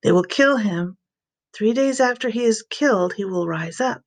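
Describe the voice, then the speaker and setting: A woman's voice: mid-range voice, animated, moderate pace, close to an everyday voice. A woman voicing an authoritative male teacher and healer in a scripture reading, firm and instructive. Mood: solemn teaching.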